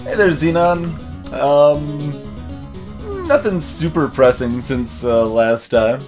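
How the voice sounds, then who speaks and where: A man's voice: a little high, big pitch swings, slow pace. A man hosting a let's play with casual, hesitant commentary, rambling as he thinks aloud. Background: game music.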